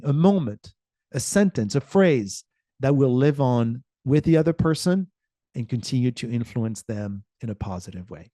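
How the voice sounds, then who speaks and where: A man from France, a communication professor, telling a short inspiring personal story with earnest, emphatic pauses. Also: fairly high pitch, warm tone, no room sound, studio quality.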